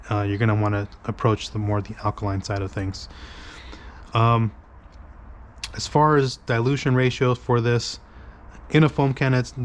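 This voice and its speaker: A man's voice: fairly low pitch, slight background noise. A man hosting a car detailing review in his garage, congested and nasal from a cold, rambling with many ums and restarts as he mixes product on his workbench. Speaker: car detailing channel host. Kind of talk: casual product review demo.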